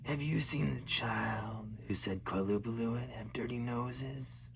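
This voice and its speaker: A man voicing menacing creatures that live under the sea ice, in a slow, breathy whisper. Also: mid-range voice, a little hiss or hum. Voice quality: breathy whisper, like snow blowing over ice. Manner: slow, drawn-out, sing-song when chanting.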